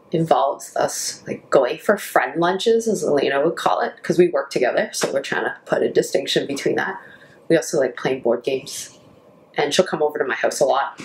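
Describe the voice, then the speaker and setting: A woman's voice: deep voice, a little hiss or hum, poor audio. A woman who works as a campus minister, grew up in Canada, talking casually about her life and friendship in an interview.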